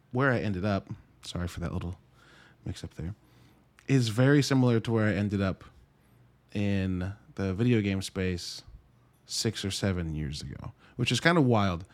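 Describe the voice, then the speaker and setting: Low-voiced man, casual rambling podcast host. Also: a little mellow. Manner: rambling, conversational, self-interrupting. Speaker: podcast host and reviewer of films and games. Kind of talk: casual solo talk to listeners.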